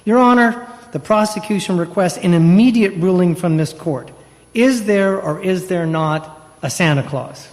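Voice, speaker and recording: A man's voice: high-pitched, moderate pace. A man acting a harried, indignant prosecutor in an old-time radio play, exasperated and emphatic. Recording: reverberant hall, stage radio play.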